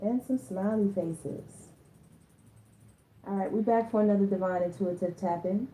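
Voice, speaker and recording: A hoarse woman giving a casual tarot reading, chatting to her viewers and often stopping to clear her throat. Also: mid-range voice, steady tone, a little slow, mellow, low-quality recording. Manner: chatty, addresses listeners as y'all, stops to clear her throat. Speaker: tarot reader.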